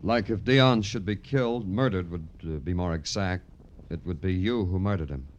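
An actor playing a wry, hard-boiled Broadway police detective in clipped, deadpan old radio noir style. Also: male voice, sits low, moderate pace, muffled recording, natural voice. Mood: coolly needling. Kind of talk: 1940s-50s radio noir acting and narration. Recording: vintage radio drama with music.

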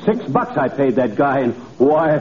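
Cocky, lively radio-comedy everyman, tinny recording. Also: medium pitch, unhurried. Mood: gleeful, boastful. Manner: folksy, boastful, chuckling banter with slangy nicknames. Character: cocky, cheerfully boastful middle-aged everyman, convinced he is lucky. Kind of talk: 1940s radio comedy acting. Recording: noisy, tinny vintage 1940s radio recording.

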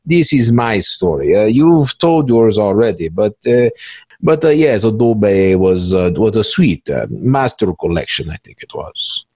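A man acts out a droll, boastful old-hand cybercriminal for a podcast skit. The pitch swings widely as he stumbles through stilted, repetitive phrases, and the sound is dry and close. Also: sing-song, darkish tone, no room sound, heavily acted. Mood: smug. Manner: halting, repetitive, stilted grammar with drawn-out hesitations. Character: smug, cynical veteran male cybercriminal, droll and boastful.

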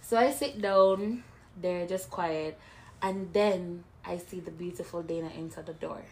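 A woman's voice: lowish voice, unhurried. A woman, co-hosting a podcast in casual chat and telling stories playfully. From Jamaica. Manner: animated storyteller, playful. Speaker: podcast co-host.

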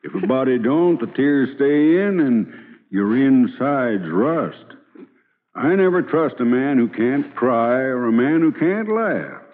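A kindly, folksy American frontier lawyer with a lively, swinging pitch and homespun sayings. He persuades with gentle humor in an old radio play, heard muffled through long hall reverb. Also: slow pace. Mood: gentle, sympathetic. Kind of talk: old-time American radio drama acting.

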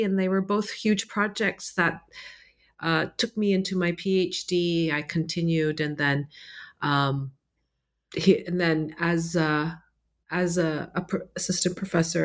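A woman in her forties with a low-pitched voice talks through her background as a guest author. She is originally from Egypt, and she speaks thoughtfully, pausing on fillers and restarting her sentences as she works out her answers. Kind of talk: conversational interview answers.

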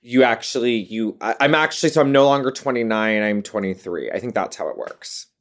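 A woman's voice: a bass voice, fairly quick. A woman in her late twenties, a guest in a casual interview, talking playfully and laughing often. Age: 20s.

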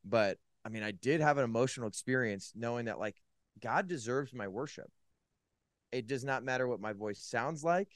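A man's voice: mid-range voice, normal speed, no room sound, clean studio sound. A man from California, a podcast guest, telling his faith story in a casual, rambling way with many "likes" and restarts. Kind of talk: casual personal testimony.

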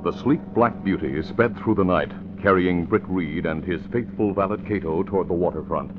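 A man's voice: sits low, moderate pace, music in the background. An American man narrating and announcing a vintage radio adventure serial with dramatic, emphatic delivery. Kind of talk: 1940s radio scripted narration. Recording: muffled, noisy vintage radio recording.

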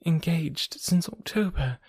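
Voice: mid-range voice, slow pace, darkish tone, husky, no echo, studio quality, voice pushed up. A reader voicing an agitated, eager young woman in an audiobook, pleading and exclaiming. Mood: stunned. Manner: pleading, then exclaiming in shock. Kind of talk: audiobook character voice.

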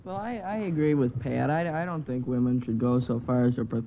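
A man's voice: mid-range voice, a little fast, narrow, tinny audio. Hissy old radio recording, young male panelist. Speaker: quiz show panelist. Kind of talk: giving opinions on a radio quiz.

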